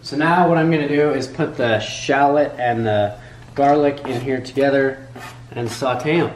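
A man's voice: medium pitch, unhurried, reverberant, slight background noise. A young man in his twenties co-hosting a casual vlog, cracking dry jokes. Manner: joking, dry one-liners. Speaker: vlog co-host. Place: home kitchen and car.

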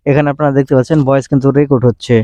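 A man's voice: medium pitch, level tone, racing, mellow, no room sound. A man presenting a tech tutorial, giving step-by-step instructions with repetitive phrasing. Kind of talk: step-by-step screen tutorial narration.

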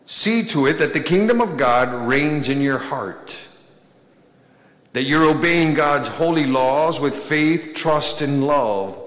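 A man in his thirties gives a sermon at a church pulpit, pausing for emphasis between short phrases. His speech is unhurried and weighty. The sound carries a roomy echo with a long church reverb trailing each line. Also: a little high, animated, a bit bright. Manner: slow, deliberate preaching with emphatic pauses and gestures. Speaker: priest. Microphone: pulpit microphone.

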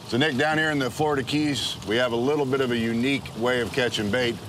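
A man's voice: mid-range voice, fairly even intonation, fast talker, background noise. A man hosting a fishing show, chatting casually and explaining things out on an open boat. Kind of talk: casual on-the-water chat and explanation. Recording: outdoor boat audio with music. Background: boat sounds, music.

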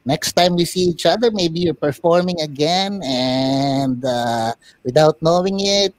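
A man voicing a boy puppet who hosts a talk show, interviewing in a courteous, deliberate, conversational way. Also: on the high side, no room sound, low-quality recording.

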